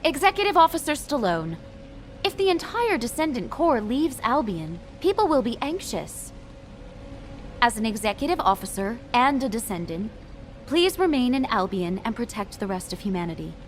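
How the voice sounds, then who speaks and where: Composed, higher-voiced military commander. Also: female voice, very animated, soft background music. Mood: firm. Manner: formal, measured. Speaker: military adjutant giving a briefing. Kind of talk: scripted game dialogue, mission briefing.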